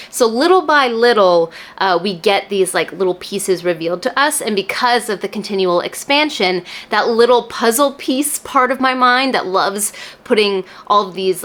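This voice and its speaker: A woman hosts a casual book vlog, speaking straight to the viewer at a medium pitch. Her delivery is chatty and animated, with emphatic stress on the words that matter to her. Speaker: book reviewer and video host.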